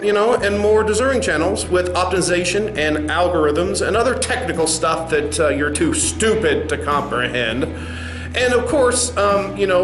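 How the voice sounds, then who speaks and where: Casting: man as pompous, dismissive corporate executive for sketch comedy; high-pitched, talking down, in a roomy space. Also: fairly bright tone. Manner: condescending, pompous. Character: smug condescending male company executive, dismissive and evasive. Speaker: narrator and sketch performer. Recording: roomy sound with music underneath.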